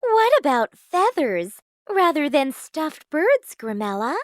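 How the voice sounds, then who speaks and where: A gentle, put-upon young fairy-tale heroine, acted by a woman narrator. Her high voice is timid, polite and wistful, with lively rises and falls in pitch. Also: takes it slowly, faint music behind, full character voice.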